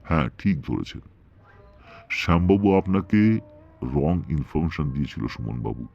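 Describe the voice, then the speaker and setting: A very low-pitched male ghost performed by a man from a horror audio story. He speaks with mocking, menacing politeness and a sardonic drawl. The sound is slightly dull, with music underneath. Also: animated, unhurried, vocal fry, full character voice. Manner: mocking, drawling politeness with sudden stern turns.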